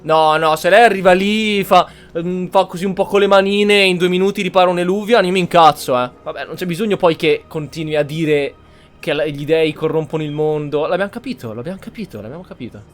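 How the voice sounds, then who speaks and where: A man's voice: high-pitched, fast talker, faint music behind. A man with an Italian accent giving casual game commentary, colloquial and sardonic. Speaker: gamer, streamer.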